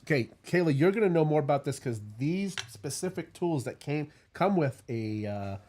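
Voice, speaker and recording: A man's voice: fairly high pitch, expressive, moderate pace, a little mellow. A congested man hosting a casual cigar review stream, chatty and rambling. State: congested from a cold. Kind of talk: casual livestream chat.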